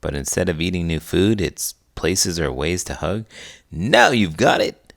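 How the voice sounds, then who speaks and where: A man's voice: low voice, lively intonation, unhurried, vocal fry, fairly bright tone. A man hosting a children's read-aloud, explaining things in a chatty, educational teacher's way. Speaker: host, elementary school teacher. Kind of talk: friendly educational chat for children.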